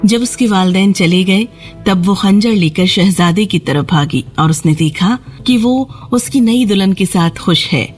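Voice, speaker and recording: A woman's voice: mid-range voice, soft background music. A woman storyteller giving a scripted narration of a children's fairy tale.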